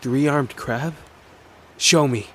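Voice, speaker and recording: A man's voice: medium pitch, very slow, pushed and bright, a little hiss or hum. A man playing an awkward, guarded teenage boy who is hesitant and defensive, in an audio drama. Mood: wary. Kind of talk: audio drama character acting.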